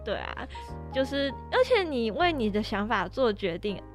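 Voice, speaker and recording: A woman's voice: on the high side, big pitch swings, normal speed, faint music behind. A woman co-hosting a podcast, discussing casually. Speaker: podcast co-host.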